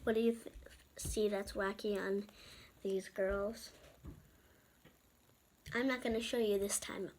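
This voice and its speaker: A woman's voice: mid-range voice, fairly even intonation, slow pace, pauses often, a little mellow, a little hiss or hum, poor audio. A young girl reading a picture book aloud, breaking off for informal, chatty asides to other children watching. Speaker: storybook reader and channel presenter.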